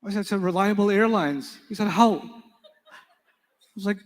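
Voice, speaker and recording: A man whose first language is Urdu, teaching an Arabic class in a relaxed, joking manner and quizzing his students. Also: sits high, measured pace, in a large hall. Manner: playful, joking, quizzes students in call-and-response. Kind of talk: live classroom lecture with storytelling.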